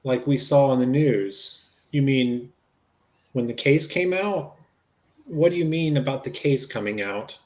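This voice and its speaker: A man playing a plain-spoken, gently probing and reassuring investigator in a dramatic reading of a police interview. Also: medium pitch, measured pace, natural voice.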